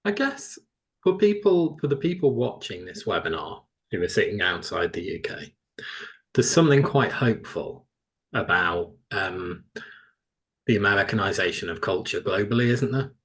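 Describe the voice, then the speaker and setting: A man with a notably deep voice, speaking on a panel over a video call. He makes his case persuasively and keeps inviting agreement with questions. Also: expressive, normal speed, a bit bright. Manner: persuasive, leans on tag questions. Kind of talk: panel discussion.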